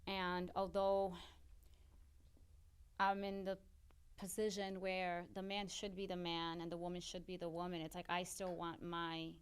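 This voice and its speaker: A woman's voice: on the low side, completely flat delivery, unhurried, smooth. A woman from Chicago with an American accent, talking casually and candidly as a podcast guest, with emphatic delivery. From Chicago, United States. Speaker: podcast guest, small business owner. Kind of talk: casual personal chat.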